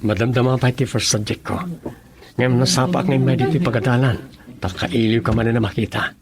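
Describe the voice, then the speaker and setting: Man acting as smitten young student, medium pitch. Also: steady tone, brisk, crowd noise, lightly acted. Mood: smitten, playful. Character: earnest, smitten young male university student. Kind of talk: Ilocano radio romance drama acting.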